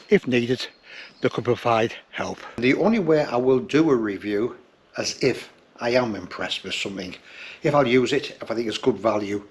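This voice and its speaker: A man's voice: medium pitch, slightly rough. A man in his fifties giving a casual, plain-spoken product review to the camera, explaining things step by step. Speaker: outdoor gear reviewer. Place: forest trail outdoors, and small room indoors.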